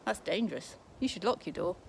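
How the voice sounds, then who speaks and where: A woman's voice: medium pitch, expressive, fairly quick, creaky, heavy background noise. A woman doing a blunt, cocky boy. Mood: offhand. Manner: blunt, offhand, dismissive. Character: wary, cocky rooftop boy. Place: garden outdoors.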